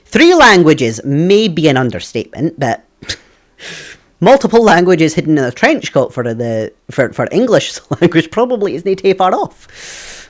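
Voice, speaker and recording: A man's voice: a little high, big pitch swings, a little slow. A young Scottish man streaming a puzzle game, chatting with viewers, thinking aloud and laughing often. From Scotland. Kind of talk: live game stream chat.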